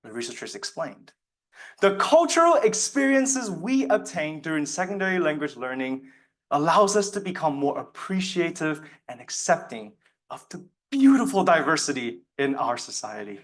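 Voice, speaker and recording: A man's voice: on the high side, sing-song, moderate pace, fairly bright tone. A young man, a native Mandarin speaker, delivers a prepared persuasive speech as a student competitor, with earnest, emphatic oratorical phrasing. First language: Mandarin Chinese.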